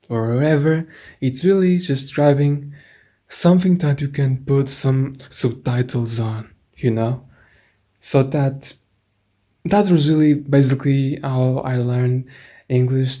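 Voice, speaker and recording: A man from Portugal with a Portuguese accent, chatting casually and haltingly to viewers as he explains things in English as a second language. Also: mid-range voice, warm tone. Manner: hesitant, halting, rambling with frequent restarts. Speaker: amateur video maker.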